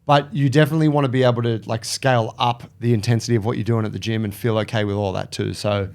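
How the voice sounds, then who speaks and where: A man's voice: mid-range voice, fairly even intonation, fast talker, creaky, a little mellow. A man co-hosting a podcast, talking in a loose, chatty way and swearing casually. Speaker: podcast co-host. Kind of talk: casual advice chat.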